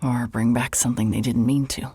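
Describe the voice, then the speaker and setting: A rueful, conflicted woman reporter in a horror audio drama. Her voice is extremely low for a woman and husky, with a dry, close studio sound. She talks haltingly, in a naturalistic way. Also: steady tone, dark tone, no room sound, heavily acted. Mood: probing, gentle. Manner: hesitant, halting.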